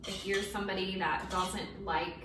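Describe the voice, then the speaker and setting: A woman's voice: mid-range voice, steady tone, normal speed. A woman in her thirties co-hosting a cooking segment, explaining tips and asking the cook questions. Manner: friendly, explanatory, asks questions. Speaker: co-host. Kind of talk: casual cooking show chat. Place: home kitchen, indoors. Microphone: far camera mic. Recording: echoey kitchen, distant mic, noisy.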